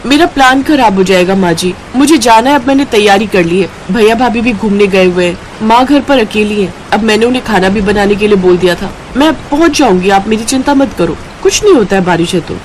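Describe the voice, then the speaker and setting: A woman's voice: mid-range voice, fairly quick, few pauses, a little hiss or hum, close to an everyday voice, poor audio. A woman voicing a stubborn, impatient young mother in a cartoon story, speaking insistently. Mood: defiant. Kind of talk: Hindi cartoon story voice acting.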